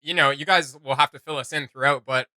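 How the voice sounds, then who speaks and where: Reaction host: male, bright and forceful, dry sound, casual joking slangy chat. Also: fairly high pitch, fast talker, no room sound. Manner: animated, slangy, excitable.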